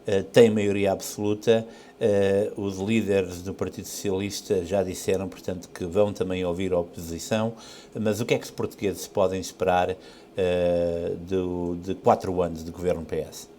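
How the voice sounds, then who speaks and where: Lowish-voiced male news reporter. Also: level tone, normal speed, warm tone, slight background noise. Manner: plain, informative delivery. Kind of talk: news report and interview questions.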